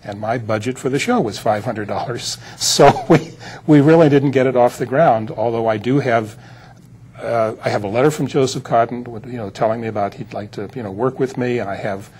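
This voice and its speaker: A man's voice: mid-range voice, darkish tone, a little hiss or hum. An older man being interviewed as a producer, reminiscing in a rambling, anecdotal way. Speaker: interview guest, film producer.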